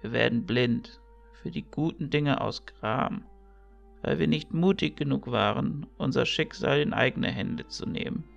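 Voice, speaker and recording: A man playing a kindly, teasing old mentor priest in a fantasy audio drama, gravelly and fatherly. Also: mid-range voice, measured pace, lo-fi sound, faint music behind. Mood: wise, reflective. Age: older.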